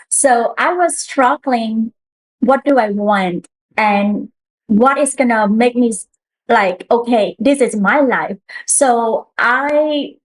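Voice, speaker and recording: A woman's voice: fairly high pitch, rough recording. A woman, a guest telling her story earnestly in a casual interview, with restarts. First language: Khmer.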